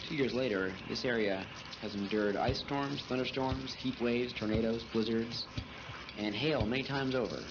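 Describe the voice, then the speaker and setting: A man's voice: mid-range voice, normal speed. A man narrating a scripted personal anecdote on a noisy, lo-fi recording. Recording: dull lo-fi, clearly noisy.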